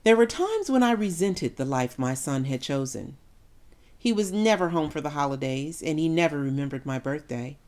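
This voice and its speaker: A woman performing a dramatic monologue as a grieving, resentful middle-aged mother, with deliberate, emotive delivery and heavy pauses. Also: low-pitched, lively intonation, a little mellow, voice pushed down. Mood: resentful, wistful.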